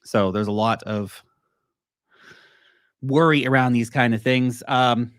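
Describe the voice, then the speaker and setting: A man in the middle of a casual market chat, rambling from one point to the next and breaking off to answer viewers. He sits at an ordinary pitch, close and dry on the mic, with no room around him. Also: steady tone, slow pace, smooth, dry recording. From United States. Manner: chatty, talks to chat, rambling asides. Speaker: crypto livestream host.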